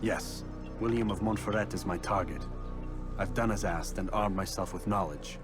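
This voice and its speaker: Game assassin, male actor: deep, cold, determined, matter-of-fact delivery, music underneath. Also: moderate pace, natural voice. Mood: calm, resolute. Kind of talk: video game voice acting.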